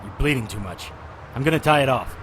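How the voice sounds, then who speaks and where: A man's voice: mid-range voice, lively intonation, brisk, a bit bright, slight background noise, close to an everyday voice, low-quality recording. A man playing a wry, cocky witch hunter who narrates and speaks in an audio drama, dry and sardonic. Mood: urgent, concerned. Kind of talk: first-person audio drama narration and dialogue.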